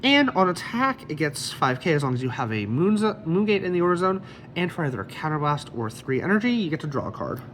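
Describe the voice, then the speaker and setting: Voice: mid-range voice, big pitch swings, a little fast, fairly bright tone, a little hiss or hum. A card game deck reviewer with a slight cold, casually explaining card effects one by one.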